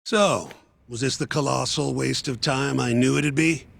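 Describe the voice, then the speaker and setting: An actor playing a gruff, cynical male police detective who talks in a sarcastic, crude, dismissive way, in a game scene with music behind. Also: medium pitch, steady tone, unhurried, a bit bright, a little hiss or hum. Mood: sardonic. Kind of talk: game dub acting.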